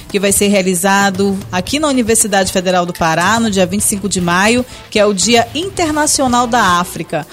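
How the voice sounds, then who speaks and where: Brazilian woman hosting over music. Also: mid-range voice. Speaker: radio host interviewer. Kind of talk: conversational radio interview. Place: radio studio.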